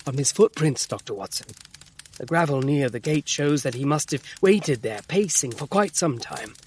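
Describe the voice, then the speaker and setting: An earnest Victorian gentleman doctor with a fairly high voice. He is excitable and formal, leaning hard on his words like a dramatic storyteller in an audio drama. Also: normal speed, slight background noise. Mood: troubled, insistent. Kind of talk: full-cast audio drama acting.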